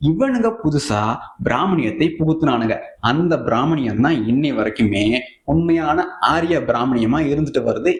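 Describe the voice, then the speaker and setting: A man's voice: fairly high pitch, echoey room. A man with a Tamil Nadu accent giving scornful, colloquial, opinionated political commentary straight to viewers in a combative way.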